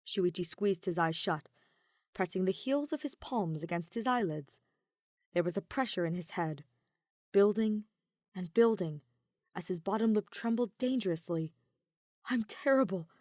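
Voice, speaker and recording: A woman's voice: fairly low pitch, unhurried, a little mellow, no room sound, clean studio sound. A woman reading scripted fiction as an audiobook narrator in a dramatic style.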